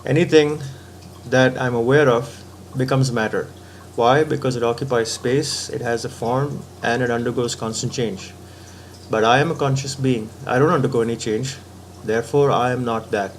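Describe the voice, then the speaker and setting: A man's voice: medium pitch, fairly even intonation, normal speed, a little hiss or hum. A man giving a patient philosophical talk, reasoning step by step and often checking in with the listener. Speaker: spiritual teacher.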